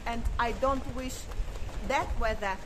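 High-voiced woman co-hosting outdoors. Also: a little slow. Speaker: vlog co-host. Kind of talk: casual vlog chat. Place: outdoors at a seaside harbour. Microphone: phone held at arm's length. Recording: clear background noise outdoors.

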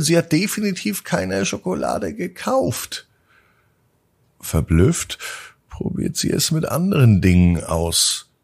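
Deep, creaky-voiced man telling bedtime stories. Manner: drawn-out, gentle delivery addressed to children. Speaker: host of a children's bedtime podcast. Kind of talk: bedtime storytelling, scripted narration.

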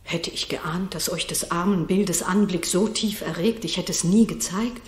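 A woman's voice: on the low side, normal speed, lightly acted. An actress playing a commanding, mysterious noblewoman in a 1960s German radio drama, with solemn declamatory delivery. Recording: roomy 1960s radio recording, music behind.

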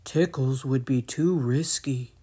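A woman voicing a big, gentle, sweet male cow from a storybook in an earnest, soft-hearted manner. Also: very deep voice, slow, husky, voice pushed down, full character voice. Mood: wistful.

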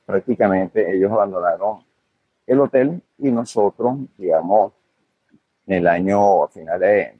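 An older hotel owner talks in a low, slightly husky voice, pausing and restarting as he answers. The sound is dry and dull, like a lo-fi recording. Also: dry recording. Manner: hesitant, full of fillers and restarts. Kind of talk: conversational interview answers.

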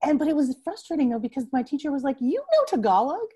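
A woman's voice: high-pitched, very animated, fast talker, warm tone. A woman in her forties chatting on a video call from home through wired earbuds, laughing often, with fillers and restarts. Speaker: interview guest, writer. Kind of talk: casual video call conversation. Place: home room with bookshelves.

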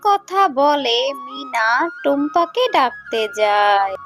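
A woman narrating a scripted children's animated story. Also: high-pitched, slow. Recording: music behind the voice.

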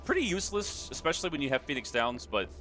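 A man's voice: fairly high pitch, sing-song, fast talker, bright, forceful tone, faint music behind. A man hosting a gaming video with casual, joking, sweary commentary and an irreverent streak. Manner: irreverent, sweary, riffing on the characters.

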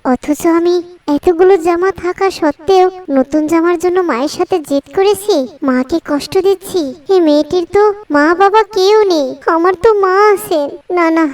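Woman as a very high-pitched earnest little girl. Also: a little mellow. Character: young girl, stubborn but remorseful, loving daughter. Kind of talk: Bengali children's moral cartoon dialogue.

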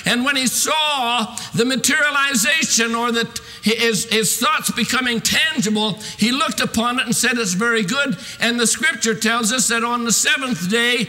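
A man in his sixties preaching a fervent sermon in an emphatic, declamatory way with drawn-out stressed phrases. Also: extremely high pitch, bright, forceful tone. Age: 60s. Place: large church sanctuary, at a pulpit. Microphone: podium microphone. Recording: reverberant hall sound.

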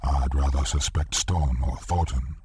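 An actor playing a skeptical foreign schemer who talks in broken grammar in a video game. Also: male voice, a bass voice, unhurried, a little mellow, breathy edge, no room sound, studio quality, exaggerated voice. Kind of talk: dramatic video game voice acting.

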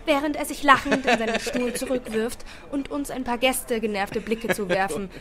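A woman reading a first-person story aloud as an expressive audiobook narrator. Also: on the high side, normal speed. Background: background music.

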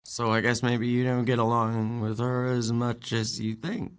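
A man's voice: mid-range voice, completely flat delivery, clear, resonant tone, lightly acted. An actor with a male-sounding voice playing an earnest, anxious transgender teenage girl in an audio play, hesitant and apologetic. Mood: curious, apologetic. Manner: hesitant, questioning, quick to apologize. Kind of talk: audio drama acting of a stage play.

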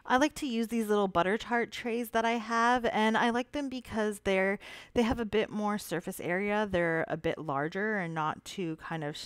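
A woman narrating her cardmaking tutorial in a relaxed, chatty way with small fillers. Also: medium pitch, moderate pace, clear, resonant tone, no room sound, studio quality. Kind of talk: voice-over craft tutorial narration.

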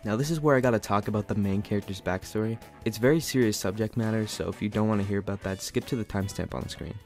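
A man with a fairly low voice delivers a scripted film review. He sounds sarcastic and fed up, and soft music plays underneath. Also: quick pace. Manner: sarcastic, exasperated critique. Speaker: movie reviewer.

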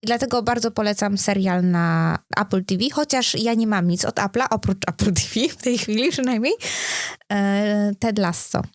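A woman's voice: mid-range voice, moderate pace, no room sound. Woman chatting in a dry, close recording. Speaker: podcast co-host. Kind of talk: casual chat.

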